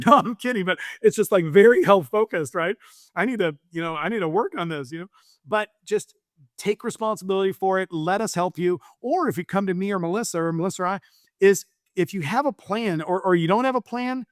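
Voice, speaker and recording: A man's voice: high-pitched, a bit bright, no echo, clean studio sound. A man speaking as an interview guest in a casual, anecdotal way, often checking in with short tag questions. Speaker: real estate investor, interview guest. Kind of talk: casual conversational interview talk.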